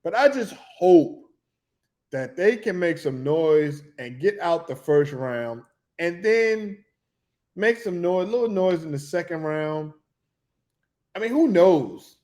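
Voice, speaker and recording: A man's voice: high-pitched, slow. Rambling fortysomething man. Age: 40s. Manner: loose, rambling, excitable about basketball. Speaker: podcast co-host. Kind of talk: casual sports chat.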